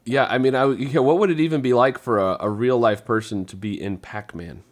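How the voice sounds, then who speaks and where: A man's voice: medium pitch, fairly quick. A middle-aged man talking casually as a podcast host, putting questions to the group. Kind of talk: casual round-table chat.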